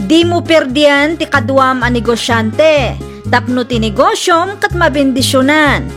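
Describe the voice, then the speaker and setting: A woman's voice: high voice, animated, measured pace, fairly bright tone. A woman with an Ilocano Filipino accent reading scripted promotional narration as a radio drama announcer. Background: music.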